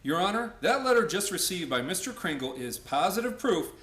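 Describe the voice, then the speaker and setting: A man's voice: high voice, sing-song, fairly quick, sharp tone, echoey room, exaggerated voice. A man playing a confident, formal, insistent defense lawyer in a radio play. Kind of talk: radio play courtroom acting.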